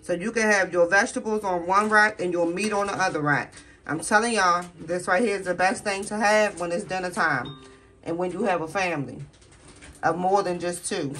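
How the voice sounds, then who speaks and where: Chatty woman, fairly low voice. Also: normal speed, fairly bright tone, a little hiss or hum. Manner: chatty, folksy, talks straight to viewers as y'all. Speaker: home cooking vlogger. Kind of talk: casual cooking video chat to viewers. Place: home kitchen.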